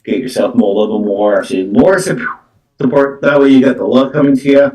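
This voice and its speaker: A man giving a live psychic card reading, with halting, repetitive phrasing full of verbal tics. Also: medium pitch, steady tone, warm tone. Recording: echoey room, distant from microphone.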